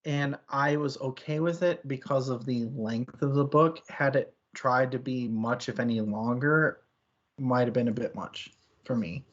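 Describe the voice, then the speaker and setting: A man's voice: mid-range voice, fairly even intonation, measured pace, dull audio. A man hosting a casual book discussion, talking in a rambling, opinionated, conversational way. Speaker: book club podcast host.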